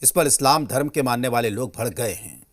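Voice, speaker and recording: A man's voice: mid-range voice, animated, brisk. A man with an Indian accent reading a scripted news introduction as an anchor.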